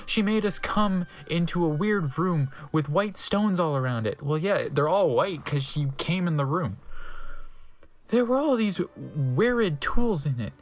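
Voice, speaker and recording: A man's voice: fairly high pitch, lively intonation, unhurried, faint music behind. A man doing a comedic dramatic reading as narrator, mock-serious and breaking off into incredulous asides.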